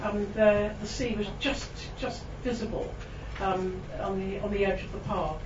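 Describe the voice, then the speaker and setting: A Welsh woman poet giving a reading to an audience, chatting between poems with ums and little laughs, then reading her verse slowly. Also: medium pitch, fairly even intonation. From Wales. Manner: chatty and self-mocking, with frequent ums and laughs; slow, lingering delivery when reading verse. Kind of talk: poetry reading with chatty asides. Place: room with a live audience. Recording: distant, roomy, dull recording with background noise.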